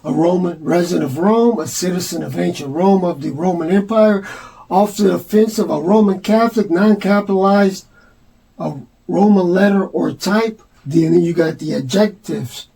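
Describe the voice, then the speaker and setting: Husky high-voiced man rambling earnestly. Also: moderate pace, warm tone. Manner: meandering, reads dictionary definitions aloud, casual and earnest. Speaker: self-published filmmaker presenting his own theory. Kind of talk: rambling monologue to camera.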